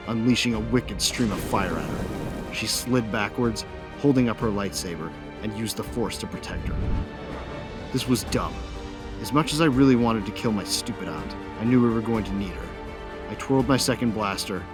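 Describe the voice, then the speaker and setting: A man's voice: lowish voice, natural voice. A man playing a hot-tempered warrior who narrates his own battles in an audio drama, intense and sardonic.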